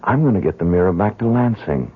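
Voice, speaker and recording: Earnest, deep-voiced man, old radio. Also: animated, fast talker, husky. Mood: resolute. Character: earnest, determined young man dabbling against occult forces. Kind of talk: 1970s radio drama acting. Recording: muffled, narrow-band 1970s radio recording.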